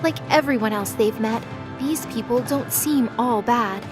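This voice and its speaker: A woman's voice: fairly high pitch, a little slow, over music. A woman reading dramatic scripted narration for a fantasy audio drama.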